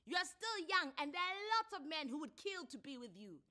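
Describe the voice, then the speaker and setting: A woman's voice: sits high, big pitch swings, brisk, bright, forceful tone, voice pushed up, full character voice. A woman with a South African accent playing a brash, meddling female bystander in a story reading. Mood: sassy, goading. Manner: brash, goading. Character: sassy, meddling female bystander egging on wife.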